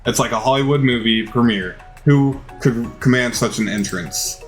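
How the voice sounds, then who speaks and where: A man's voice: mid-range voice, normal speed. A man reading out a video game's story dramatically as he plays, stumbling now and then. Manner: theatrical, with occasional stumbles. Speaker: video game player narrating a playthrough. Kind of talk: dramatized reading of game text. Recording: music behind the voice. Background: game music.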